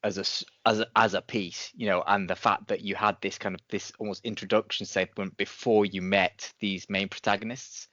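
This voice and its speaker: A man's voice: fairly low pitch, little pitch movement, moderate pace, few pauses, bright, forceful tone, no echo, dull audio. A man co-hosting a podcast in a casual, conversational way, circling and rephrasing his points. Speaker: podcast co-host. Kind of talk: casual review chat.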